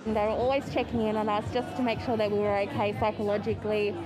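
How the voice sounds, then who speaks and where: A woman's voice: medium pitch, flat delivery, fast talker. An Australian woman, a restaurant worker, talking informally in a news interview. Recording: music and crowd chatter behind.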